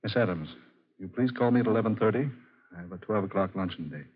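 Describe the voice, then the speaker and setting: A man's voice: on the low side, fast talker, a little hiss or hum, natural voice. An actor in a 1940s radio drama playing a hot-tempered man spurned by the woman he loves. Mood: pensive, wounded. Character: jilted, hot-tempered, successful man in love. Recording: muffled old 1940s radio recording.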